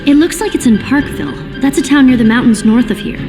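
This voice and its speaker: A woman voicing a sharp, sensible teenage girl teammate in a video game. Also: sits high, fast talker, close to an everyday voice. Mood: knowing, inquisitive. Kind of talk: video game cutscene acting. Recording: long reverb with music behind.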